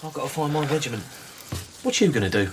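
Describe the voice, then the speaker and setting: Blunt, practical soldier, noisy backdrop. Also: male voice, fairly high pitch, very animated, fast talker. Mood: resolute. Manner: plain-spoken, terse, bossy. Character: brisk, blunt soldier, shaken by war but practical. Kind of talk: naturalistic period radio drama acting.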